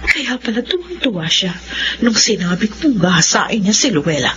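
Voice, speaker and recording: A woman's voice: medium pitch, very animated, moderate pace, close to an everyday voice. A woman with a Filipino accent playing a scheming, threatening, irritable young woman in a radio drama. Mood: scornful, scheming. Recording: muffled, very noisy radio drama recording.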